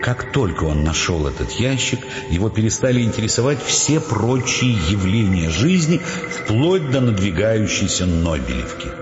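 An actor as the wry, measured narrator of a radio play, reading scripted prose. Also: male voice, lowish voice, very animated. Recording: echoey hall, dull sound, music behind. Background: music.

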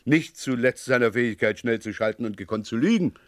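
In a dry studio, a male actor plays a persistent police inspector from a vintage radio crime drama. His mid-pitched voice is deliberate and ironic, needling as he interrogates. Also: dry recording, lightly acted. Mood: sardonic.

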